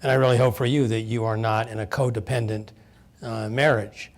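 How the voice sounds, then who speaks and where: A man speaking as an expert on a panel, halting with many pauses and fillers, then blunt in his points. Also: on the low side, steady tone. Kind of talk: panel discussion.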